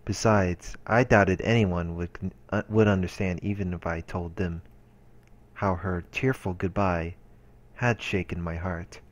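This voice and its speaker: A man's voice: low voice, steady tone, measured pace. A man reading first-person story narration aloud as the host of a visual novel playthrough.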